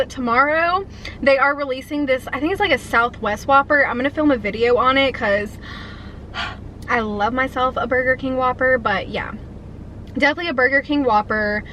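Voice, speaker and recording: A young woman in her twenties with a high, bright-pitched voice chats to the camera while she eats. She talks eagerly and loosely, gushing over food and answering questions. A faint hum of background noise sits behind her. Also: rough recording. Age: 20s. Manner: chatty, enthusiastic, talks while eating. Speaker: food vlogger. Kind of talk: casual mukbang chat.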